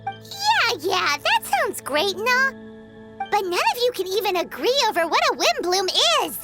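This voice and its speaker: A woman voicing a tiny childlike fairy companion in a video game, squeaky, excitable, blunt and sassy. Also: unhurried, exaggerated voice. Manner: speaks of herself in third person, sassy. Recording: loud music behind.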